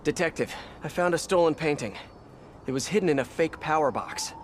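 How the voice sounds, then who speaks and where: Guy voicing young wisecracking superhero, highish voice, super animated, wry game banter, noisy city behind. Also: brisk, natural voice. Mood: focused. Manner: wry, playful. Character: young wisecracking male superhero, playful and eager. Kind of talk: video game voice acting, quippy banter.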